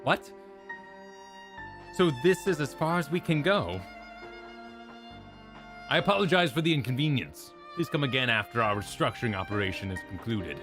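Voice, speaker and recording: A man reading the lines of a stiff, apologetic male custodian in a slow, formal manner. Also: medium pitch, expressive, music in the background, lightly acted. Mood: stiffly formal.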